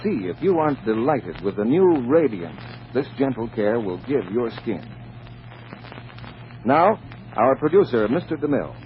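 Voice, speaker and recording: A man's voice: medium pitch, sing-song, a little slow. Brief: male announcer, vintage radio ad read, swooping polished delivery, dull noisy recording. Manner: polished, persuasive. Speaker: radio announcer reading sponsor copy. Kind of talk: 1940s radio advertising announcement.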